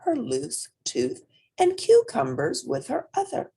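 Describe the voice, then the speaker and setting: A woman's voice: a little high, wildly swooping pitch, slow, mellow, husky. A woman reading a children's storybook aloud expressively, speaking directly to young listeners. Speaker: storybook reader.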